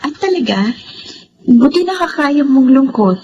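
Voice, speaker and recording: An actress playing a soft-hearted, grieving young orphaned woman in an emotional, earnest Filipino radio drama style. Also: high-pitched, expressive, unhurried, muffled recording.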